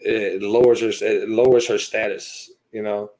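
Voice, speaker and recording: Earnest halting man in his thirties. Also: lowish voice, level tone, a little mellow, rough recording. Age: 30s. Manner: earnest, halting, fills with "you know". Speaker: guest. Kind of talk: personal story in a podcast interview.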